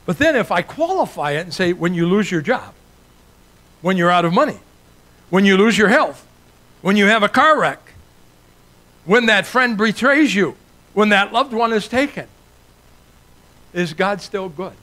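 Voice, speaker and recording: An older man from Italy delivers a sermon with a slightly high voice. He preaches earnestly and builds his points through rising repeated lists. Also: lively intonation, unhurried, long pauses, bright, forceful tone, poor audio. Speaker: guest preacher.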